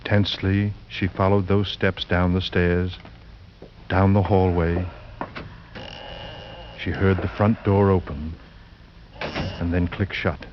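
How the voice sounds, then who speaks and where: A man narrating a suspense tale as a radio actor, grave and deliberate in a theatrical 1940s style. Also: deep voice, level tone, slight background noise. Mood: grave, ominous. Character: grave, ominous male storyteller of a horror tale. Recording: muffled 1940s radio recording with music.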